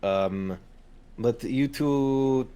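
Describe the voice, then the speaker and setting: A man's voice: mid-range voice, very slow, mellow, clean tone. A man voicing Dorian, a terse, offhand male crew member in a tabletop game. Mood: dismissive.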